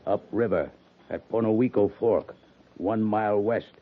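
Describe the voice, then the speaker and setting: A man playing a stolid army scout in a 1950s radio drama, speaking halting, telegraphic broken English. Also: on the low side. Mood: stolid, terse. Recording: tinny, noisy 1950s radio recording.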